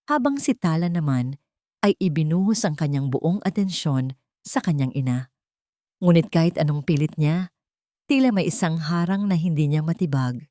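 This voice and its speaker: A woman narrates a scripted, dramatic story. Her pitch sits a little low for a woman, and the recording is dry with almost no room sound. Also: lively intonation, normal speed, dark tone, no room sound.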